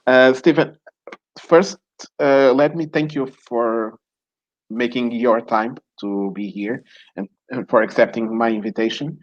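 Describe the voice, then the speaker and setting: A man's voice: mid-range voice, fairly even intonation, measured pace, no room sound, lo-fi sound. A man from Mozambique, hosting an online talk show in a hesitant way with many fillers and restarts. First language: Portuguese. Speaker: show host.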